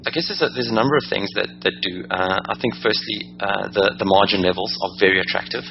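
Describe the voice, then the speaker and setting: A man from South Africa with a South African accent, answering interview questions in a halting way with many fillers and repeated words. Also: fairly low pitch, vocal fry. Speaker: trader and software company owner. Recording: dull lo-fi audio with steady hum.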